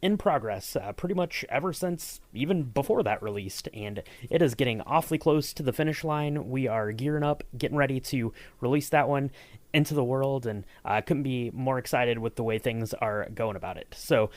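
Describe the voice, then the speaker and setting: A man's voice: mid-range voice, moderate pace, a little mellow, no echo. Man, podcast host, upbeat, lots of fillers, dry recording. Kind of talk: casual podcast interview.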